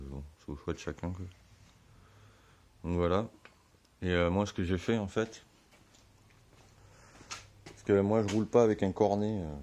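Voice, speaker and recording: A hoarse man giving a relaxed, slangy how-to explanation full of fillers, in a home garage. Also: low voice, a little hiss or hum. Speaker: motorbike hobbyist.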